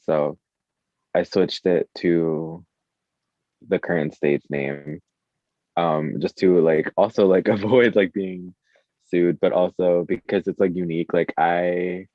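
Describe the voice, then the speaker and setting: Deep-voiced casual man, echoey muffled sound. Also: steady tone, slow. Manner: hesitant, filler-heavy, informal speech with frequent "like". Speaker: guest music artist. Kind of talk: casual interview chat. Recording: dull, echoey lo-fi room sound.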